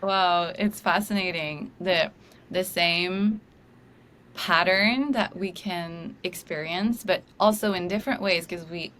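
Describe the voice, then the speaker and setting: A woman's voice: fairly low pitch, takes it slowly. A woman with a French accent, whose first language is French, hosting a podcast and talking casually, sometimes hesitating to find a word. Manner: warm, hesitant, searching for words. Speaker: podcast host. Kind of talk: casual conversational interview.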